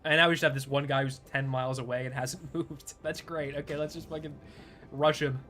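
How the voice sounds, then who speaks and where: A young man streaming a game, chatting casually in a laid-back, chuckling way with a fairly high-pitched voice. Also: fairly even intonation, fairly quick, bright, forceful tone. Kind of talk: casual live gameplay commentary.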